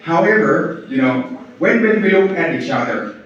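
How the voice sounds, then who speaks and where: A man from Thailand speaks to a gathered audience in a slightly high voice, stopping and restarting often and leaning on fillers between his points. He sounds far from the microphone, in a very echoey hall with a dull, lo-fi quality. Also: expressive. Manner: halting, many fillers and restarts, laughs at his own jokes. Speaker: head of partnership engagement at a farming organization. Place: large hall at an event.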